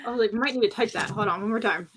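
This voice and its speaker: A woman's voice: a little high, very fast, fairly bright tone, a little hiss or hum. A woman playing a tabletop roleplay game, talking casually through her character's moves.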